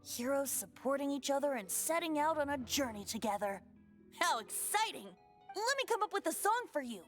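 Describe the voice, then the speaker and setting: A woman's voice: sits high, big pitch swings, moderate pace. A woman playing a playful, youthful male bard in an anime video game, with a light, lilting, theatrical manner. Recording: game music behind the voice.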